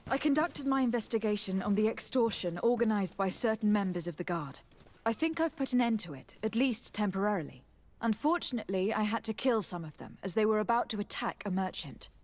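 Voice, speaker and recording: A woman's voice: medium pitch, lively intonation, brisk, dry recording, close to an everyday voice. A woman playing a resolute, commanding noblewoman investigator in a video game, speaking formally and with assurance. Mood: determined.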